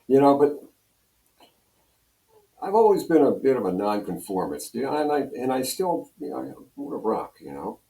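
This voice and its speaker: A man's voice: medium pitch, very animated, moderate pace, gravelly, mellow. An older American man telling radio stories as an interview guest, casually rambling and acting out bits of dialogue. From Pennsylvania, United States. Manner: rambling, conversational, acts out dialogue. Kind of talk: casual anecdotal storytelling. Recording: roomy, echoey sound.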